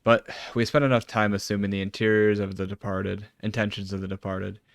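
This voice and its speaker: A man's voice: lowish voice, level tone, brisk, gravelly, dry recording, clean studio sound. A man streaming a video game, reading its formal cutscene dialogue aloud with some stumbles and casual reactions.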